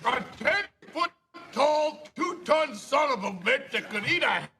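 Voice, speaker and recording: A man imitating a gruff, boastful bar patron giving a slow, booming toast. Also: big pitch swings, pushed and bright, faint music behind, exaggerated voice.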